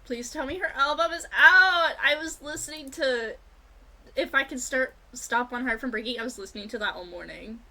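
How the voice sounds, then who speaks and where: A woman streaming a video game with casual, excitable, gushing and sweary live commentary. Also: high voice, normal speed. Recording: music behind the voice. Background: video game music.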